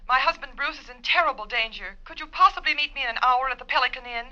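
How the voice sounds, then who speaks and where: A woman's voice: high voice, quick pace, bright, forceful tone. An actress playing an anxious, refined young married woman in a 1940s radio drama, earnest and hesitant in manner. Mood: anxious, pleading. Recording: dull old radio recording, faint music.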